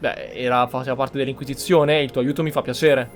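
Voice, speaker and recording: A man's voice: medium pitch, fairly even intonation, fast. A man with an Italian accent giving casual game commentary, colloquial and sardonic. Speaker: gamer, streamer.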